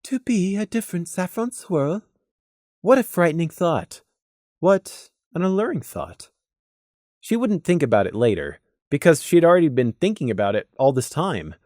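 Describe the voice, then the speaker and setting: Expressive storybook narrator, close dry sound. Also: medium pitch, normal speed, dry recording. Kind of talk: scripted audiobook narration of fan fiction.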